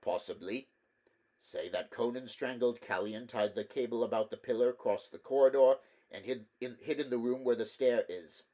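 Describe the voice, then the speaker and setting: A man's voice: mid-range voice, moderate pace, mellow, lightly acted. A man doing a shrewd, reasoning inquisitor. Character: shrewd skeptical male inquisitor.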